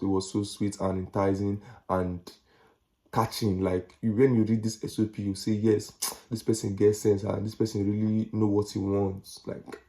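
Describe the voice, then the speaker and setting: A man's voice: lowish voice, mellow. A young Nigerian man with a Nigerian accent, giving casual advice in a chatty, repetitive way with slangy asides. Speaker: vlogger.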